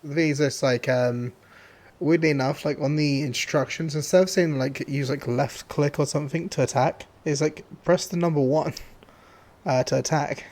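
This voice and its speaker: Hoarse, rambling male game streamer. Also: on the high side, steady tone, moderate pace, a little mellow. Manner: rambling, full of fillers and restarts. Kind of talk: casual gameplay commentary.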